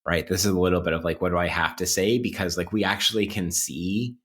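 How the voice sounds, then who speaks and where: A man's voice: low-pitched, steady tone, fast, a bit bright. A man hosting a casual, intellectual podcast interview, with academic vocabulary and a playfully mock-formal manner. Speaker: podcast host.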